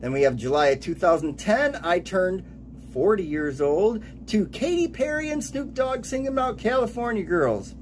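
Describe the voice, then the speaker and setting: A man in his late forties giving an upbeat radio DJ countdown, announcing titles and years in a lively way. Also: high voice, normal speed, slight background noise. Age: late 40s.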